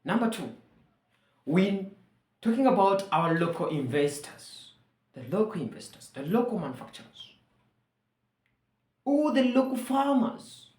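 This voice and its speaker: A woman's voice: medium pitch, very animated, unhurried, pauses often, a little mellow, roomy sound. A woman from Uganda whose first language is Luganda, speaking in an earnest, rallying way and repeating phrases for emphasis. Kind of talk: persuasive monologue to camera.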